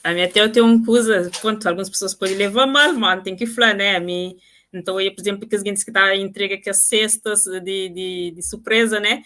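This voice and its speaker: Interviewer, bright tone, casual, quick to agree. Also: medium pitch, fairly even intonation. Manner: frequent short agreements.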